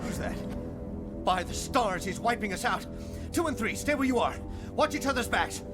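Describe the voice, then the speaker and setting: An actor playing a frantic military squad leader urgently barking orders in a science fiction audio drama. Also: male voice, very high voice, lively intonation, moderate pace, loud music behind the voice. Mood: panicked.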